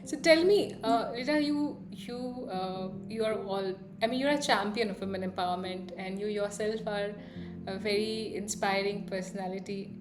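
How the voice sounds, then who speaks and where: A woman's voice: mid-range voice, normal speed. A woman hosting a podcast, asking long, chatty questions sprinkled with "you know" and "like". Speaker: podcast host. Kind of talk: conversational interview. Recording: echoey room with music behind.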